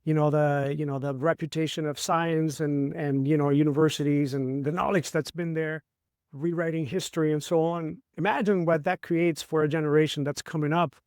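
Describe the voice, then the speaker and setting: A man's voice: on the high side, steady tone, normal speed, a little mellow, smooth, dry recording, clean studio sound. A middle-aged man from Chile, a martial artist and trainer, explaining ideas in a reflective way and often asking "right?". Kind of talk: conversational interview.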